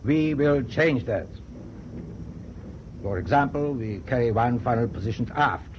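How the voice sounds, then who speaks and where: An actor playing a stern, proud German naval captain in a radio drama, speaking in a clipped, formal, authoritative way. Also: male voice, medium pitch, unhurried, lightly acted. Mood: grave, resolute. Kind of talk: BBC radio drama acting. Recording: dull, noisy old radio broadcast tape.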